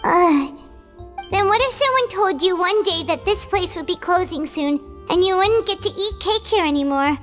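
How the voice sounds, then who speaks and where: A woman voicing a tiny, excitable floating female companion who chirps and talks about herself in the third person. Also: very high voice, moderate pace. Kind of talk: video game voice acting. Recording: game audio with reverb and music.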